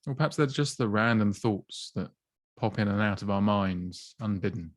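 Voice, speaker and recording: A man gently guiding a body scan meditation, slow and soothing with long pauses. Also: on the low side, no room sound, clean studio sound. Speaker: mindfulness teacher.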